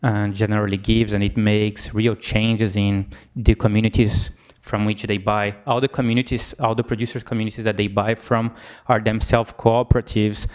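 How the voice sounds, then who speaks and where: Brazilian man, low monotone, academic presenter, hesitant with restarts, dull lo-fi sound. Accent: Brazilian Portuguese. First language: Portuguese. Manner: halting, frequent restarts and fillers. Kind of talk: conference talk.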